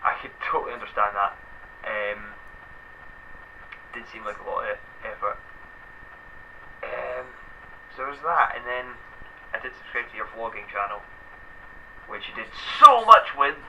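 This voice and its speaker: A man's voice: mid-range voice, animated, unhurried, creaky, long pauses, pushed and bright. Scottish male guest, young adult, chatty and rambling, dull lo-fi mic with faint noise. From Scotland. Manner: rambling, lots of fillers. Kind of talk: casual chat.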